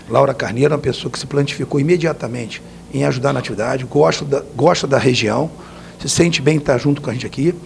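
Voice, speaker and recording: A man's voice: mid-range voice, gravelly, a little mellow, slight background noise. A man, a state deputy, giving interview answers in plain, repetitive talk.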